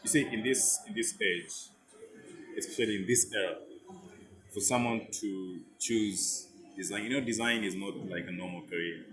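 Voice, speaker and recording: A man hosting a podcast, joking and lively, with many fillers. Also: fairly low pitch, moderate pace. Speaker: podcast host. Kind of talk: casual interview chat. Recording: echoey room with music playing.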